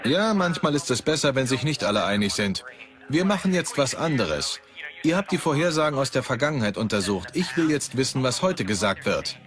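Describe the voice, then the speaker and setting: An actor as brisk documentary team leader, lively intonation. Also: male voice, medium pitch, lightly acted. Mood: brisk, businesslike. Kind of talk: German documentary voice-over. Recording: music under the voice.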